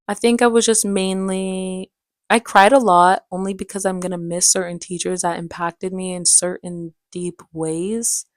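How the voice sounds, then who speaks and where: Young woman, slightly low-pitched, casual vlog chat, animated slangy storytelling, playful dramatics, dry recording. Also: measured pace, no echo. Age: young adult. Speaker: vlogger and aspiring actress.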